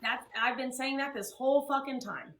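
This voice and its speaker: A woman hosts a live stream in a blunt, heated rant, swearing hard. Her voice sits high and sounds bright and sharp. The room around her is echoey. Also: normal speed. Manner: blunt, profane, emphatic.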